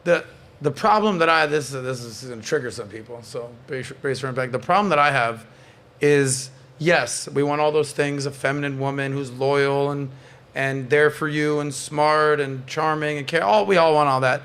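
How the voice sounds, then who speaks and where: A man's voice: fairly high pitch, moderate pace, fairly bright tone. A man hosting a podcast in casual, opinionated panel chat, bantering and swearing freely. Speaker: podcast host.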